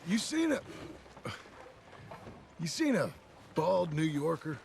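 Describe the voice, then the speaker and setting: A man playing a gruff, plainspoken outlaw turned rancher in a video game, with a gravelly, laconic American Western drawl. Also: fairly high pitch, big pitch swings, slow, darkish tone, a little hiss or hum, exaggerated voice. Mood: inquiring. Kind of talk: naturalistic video game acting. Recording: music under the voice.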